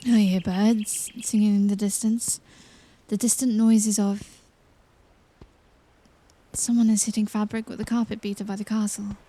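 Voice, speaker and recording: A woman acting in an audio drama as an earnest young princess in training, speaking with hesitant wonder and deliberate phrasing. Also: medium pitch, little pitch movement, warm tone, close to an everyday voice. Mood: wondering, focused. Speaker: voice actor.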